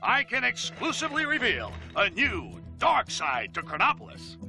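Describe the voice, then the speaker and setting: A man's voice: very high-pitched, wildly swooping pitch, normal speed, gravelly, sharp tone, soft background music, exaggerated voice. A man playing a brash, sensational New York newspaperman reading a breathless news bulletin in a video game. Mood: bombastic, sensational. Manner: bombastic, sensational.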